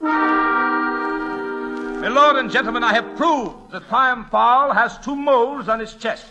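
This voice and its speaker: Pompous male barrister, vintage radio drama, declamatory, formal, extremely high pitch, very sharp tone. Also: unhurried, faint music behind, full character voice, rough recording. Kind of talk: old-time radio drama acting.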